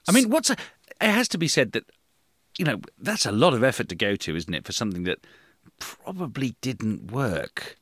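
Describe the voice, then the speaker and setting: A man's voice: medium pitch, sing-song, moderate pace, fairly bright tone, no room sound, clean studio sound. A man, hosting a casual discussion and telling anecdotes. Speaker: host.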